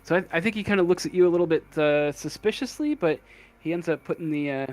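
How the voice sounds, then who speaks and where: A man narrating a tabletop game casually as game master, improvising in a hesitant, halting way. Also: a little high, faint music behind.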